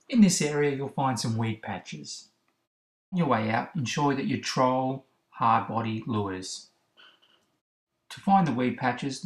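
A man talks through fishing directions in a steady, instructive voice that sits in an ordinary middle range. The recording has a roomy echo around it. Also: medium pitch. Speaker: fishing channel host. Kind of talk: instructional voice-over about kayak fishing spots.

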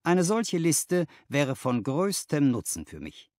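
A man voicing a brisk, patronising company boss in an audiobook, businesslike and used to giving orders. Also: a little high, very animated, a little mellow, no room sound, clean studio sound, voice pushed up. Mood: brisk, commanding. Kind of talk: audiobook character dialogue.

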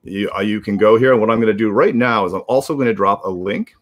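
A man's voice: fairly low pitch, quick pace. A man in his forties, casually co-hosting a livestream on a video call. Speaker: livestream co-host. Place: indoor room at home, on video call. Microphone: video call device.

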